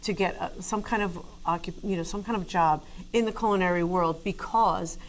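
A woman's voice: on the low side, fairly even intonation, normal speed, a little hiss or hum. A middle-aged woman, a guest chef chatting through a cooking demo in a TV studio kitchen on a lapel mic. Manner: chatty, explains steps as she cooks.

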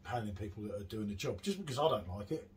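A man's voice: lowish voice, lively intonation, fast. Man chatting over noticeable background noise. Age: middle-aged. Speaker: beer reviewer. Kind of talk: casual review chat. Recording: clearly audible background noise.

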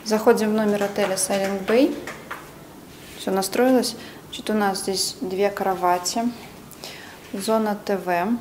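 A woman's voice: mid-range voice, steady tone, unhurried, long pauses, darkish tone, slight background noise. A young woman giving a casual, chatty hotel tour while filming with a phone held at arm's length, with plenty of fillers and self-corrections. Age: young adult. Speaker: travel agency vlogger touring a hotel. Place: indoors in a hotel room and outdoors on resort grounds. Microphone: phone camera at arm's length.

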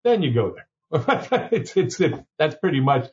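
A man's voice: on the high side, sing-song, unhurried, darkish tone, slightly rough, highs cut off. A Cuban-born man who is a company's chief legal counsel, speaking as a guest in a candid, folksy way and often tossing in "okay?". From Cuba. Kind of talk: conversational interview.

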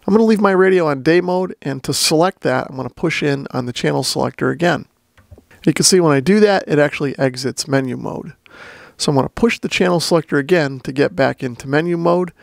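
A man's voice: on the high side, brisk, vocal fry, dry recording, clean studio sound. A man giving a plain-spoken, methodical step-by-step walkthrough as a product reviewer. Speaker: radio reviewer and demonstrator.

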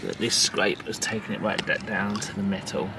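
A man's voice: lowish voice, fairly even intonation, moderate pace, background noise. A man chatting casually and playfully as he narrates a vlog outdoors. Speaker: vlog host. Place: outdoors by a river and boat. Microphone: handheld camera, operator behind it.

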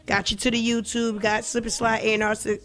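High-voiced playful woman radio DJ. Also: level tone, a little fast, a bit bright. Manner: playful, chatty, hypes the show. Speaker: radio DJ host. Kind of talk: radio interview hosting.

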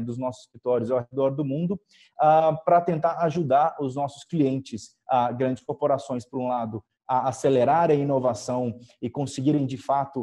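A man in his thirties with a Brazilian Portuguese accent, hosting an online event informally in a halting, filler-heavy way over a video call from home. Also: medium pitch, mellow. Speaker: event host. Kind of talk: introducing an online panel event, informal presenting. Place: indoors in a living room at home. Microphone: video call with wired earphones.

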